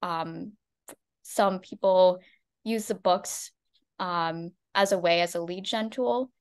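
American woman, lowish pitch, earnest webinar co-presenter, video-call audio. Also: slow pace, clear, resonant tone. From United States. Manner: earnest, with some restarts. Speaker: social media marketer, co-presenter.

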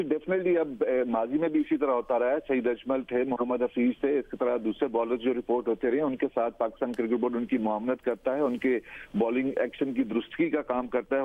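A male reporter files a formal cricket news update, measured and careful, with small hesitations between phrases. His voice comes through a thin, tinny phone line. The pitch sits in an ordinary middle range. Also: steady tone. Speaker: field reporter.

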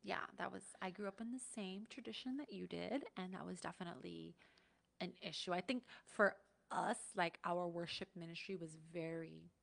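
A woman in her thirties, co-hosting a casual chat in a relaxed, soft-spoken way, on a boom mic in a small studio. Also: normal speed, gravelly. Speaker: podcast co-host. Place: small indoor studio set. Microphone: boom-mounted studio mic.

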